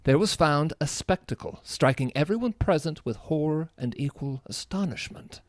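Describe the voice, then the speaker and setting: A man acting as an excitable gentleman sidekick to a detective in a radio play. His fairly high voice swoops expressively with theatrical emphasis, recorded close and dry. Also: normal speed, no echo, close to an everyday voice. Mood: dramatic reading. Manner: theatrical, emphatic newspaper-reading delivery. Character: astonished gentleman companion to a brilliant detective. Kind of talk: live radio drama acting.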